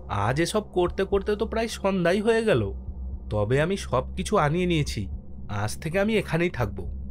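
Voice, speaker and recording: A man playing an easygoing village postmaster who narrates his own ghost story in an expressive, dramatic way for a horror cartoon. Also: sits high, a little hiss or hum. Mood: relaxed, friendly. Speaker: first-person narrator and lead character. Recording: music under the voice.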